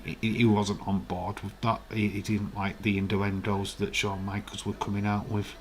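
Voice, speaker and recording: A man with a low, croaky voice rambles through a casual live-stream chat. He reads out viewer comments as he goes, with a faint hiss behind him. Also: flat delivery, normal speed. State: hoarse, croaky voice.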